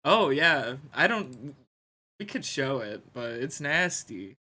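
A man's voice: mid-range voice, very animated, slow pace, a crowd in the background. A man co-hosting a podcast, chatting casually about sports. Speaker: podcast co-host.

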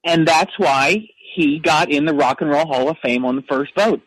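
An actor playing a brash, combative caller who rambles about rock music and picks fights. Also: male voice, a little high, normal speed, a bit bright, slightly rough, dry recording, rough recording. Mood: chummy. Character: brash hotheaded man obsessed with rock. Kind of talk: comedic character phone call.

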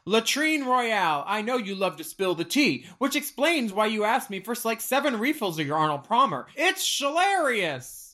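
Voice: mid-range voice, big pitch swings, normal speed, hardly any pauses, sharp tone. An actor playing a vain, chatty, catty drag queen contestant in a campy comedic radio play. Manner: boastful, catty.

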